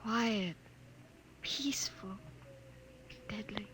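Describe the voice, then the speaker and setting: A woman's voice: medium pitch, drawn-out words, a bit bright. An American actress playing an earnest, devoted young woman survivor in a 1950s radio drama, with theatrical delivery. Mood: awed. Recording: noisy old 1950 radio recording.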